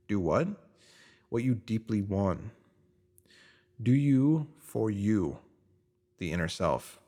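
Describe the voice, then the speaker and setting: A man's voice: fairly low pitch, a little mellow. A man reading a scripted motivational piece as narrator, in an earnest, instructive manner with rhetorical questions.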